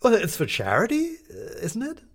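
A woman's voice: a little high, wildly swooping pitch, moderate pace, breathy edge, voice pushed up, exaggerated voice. A man voicing a chattering, scatterbrained society lady who rambles breathlessly from one thought to the next. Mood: flustered chatter.

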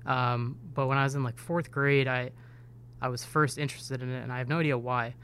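A man's voice: mid-range voice, little pitch movement, a little fast, a bit bright, a little hiss or hum. A man in his twenties from upstate New York, a newspaper intern talking casually on a podcast with many ums and uhs. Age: 20s. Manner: hesitant, filler-heavy speech.

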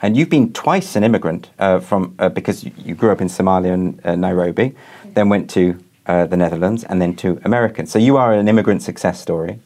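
Low-key male podcast interviewer. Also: fairly quick, warm tone.

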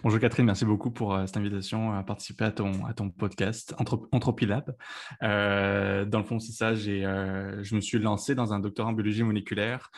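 Hesitant young Frenchman with a lowish voice. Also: level tone, a little fast. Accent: French from Lyon. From France. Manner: hesitant, many fillers and restarts. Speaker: guest, PhD student. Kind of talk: casual interview answers.

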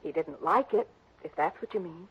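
An actress playing a defiant, headstrong young adopted heiress in a 1960s radio drama. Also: female voice, fairly low pitch, lively intonation, moderate pace, husky. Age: young adult. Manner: headstrong, defensive. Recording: dull lo-fi old radio broadcast.

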